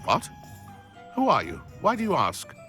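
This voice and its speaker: Bright, animated, haughty British grudge-holder. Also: male voice, fairly high pitch, unhurried, faint music behind, exaggerated voice. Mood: irritated, defensive. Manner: haughty, indignant, articulate. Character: prickly, vengeful, smug adult former schoolmate. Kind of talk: video game voice acting.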